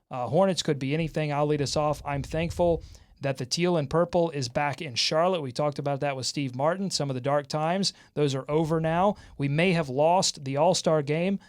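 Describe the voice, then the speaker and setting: A man hosting a casual sports talk show, bantering with fans and co-hosts in an excitable, animated way. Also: on the high side, clean tone. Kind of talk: casual sports fan banter with comments and highlights.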